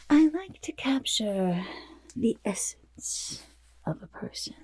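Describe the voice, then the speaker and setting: A gravelly, breathy goblin portrait artist, speaks slowly in a raspy half-whisper for an ASMR roleplay. The creature is vain and fussy, flattering the listener with pompous, careful phrases in a very husky voice. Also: female voice, mid-range voice, exaggerated voice. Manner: third-person self-talk, hesitant pompous flattery. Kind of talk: ASMR roleplay, soft personal attention.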